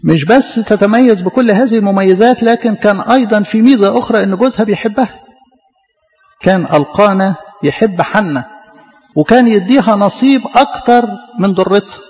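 A high-voiced man with an Egyptian accent delivers a quick sermon, throwing out repeated questions to the congregation. The recording is muffled and rings with the long reverb of a big hall. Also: darkish tone, soft background music. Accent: Egyptian Arabic. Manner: questioning, repetitive. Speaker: preacher.

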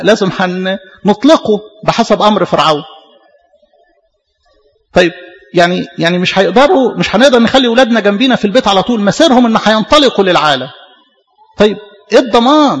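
High-pitched Egyptian man preaching in an echoing hall. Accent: Egyptian Arabic. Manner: emphatic and didactic, with rhetorical questions and repetition. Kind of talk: sermon retelling Bible stories in colloquial speech with scripture quotes. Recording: reverberant hall with long echo.

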